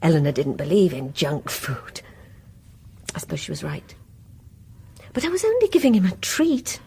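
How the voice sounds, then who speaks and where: A woman's voice: on the low side, wildly swooping pitch, moderate pace, mellow, slightly rough, full character voice. An actress playing a lonely, dreamy, troubled married woman in a radio drama, with a British accent and a confessional, sighing manner. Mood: nostalgic, sad.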